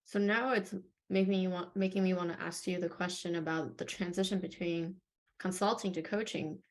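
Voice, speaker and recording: A woman's voice: on the low side, fairly even intonation, normal speed. A woman interviewing a colleague, asking questions in an encouraging, affirming way. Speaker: head of coaching, interviewer.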